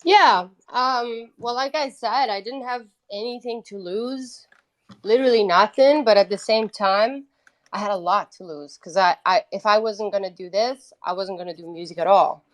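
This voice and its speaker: A woman's voice: medium pitch, normal speed. Woman chatting casually. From Italy. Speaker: guest, independent musician. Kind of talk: casual conversational storytelling.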